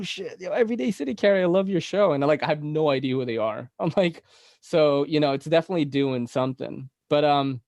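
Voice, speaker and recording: A man's voice: mid-range voice, a little mellow, dry recording, studio quality. Laughing laid-back man with a Filipino accent. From Philippines. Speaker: podcast host. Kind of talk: casual podcast chat.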